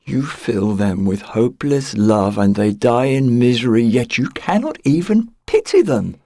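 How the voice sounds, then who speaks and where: Earnest, reproachful young suitor for radio play, played by a man. Solemn, mid-pitched, very dry recording. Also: very animated, measured pace, mellow, no room sound. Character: faithful, earnest, reproachful young male lover. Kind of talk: audio drama acting.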